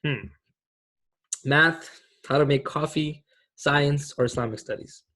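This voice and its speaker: Male imam-teacher, 30s, from Pakistan, relaxed, chatty, full of fillers; video-call audio. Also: fairly high pitch, slow. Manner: casual and friendly, many fillers. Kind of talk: online class for teens with a quiz.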